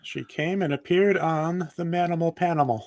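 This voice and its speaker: A man's voice: fairly high pitch, moderate pace, darkish tone, dry recording, studio quality. A man on a panel, chiming in with casual, joking banter.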